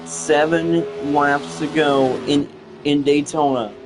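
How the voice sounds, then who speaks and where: Young man calling a racing game, slow and halting. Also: fairly high pitch, steady tone. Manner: halting, repeats phrases, restarts. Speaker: race commentator. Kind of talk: play-by-play racing commentary. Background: music and engine noise.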